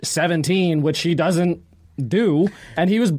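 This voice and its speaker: A man's voice: on the high side, measured pace, darkish tone. An American man co-hosting a podcast, chatting casually about sports. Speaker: podcast co-host.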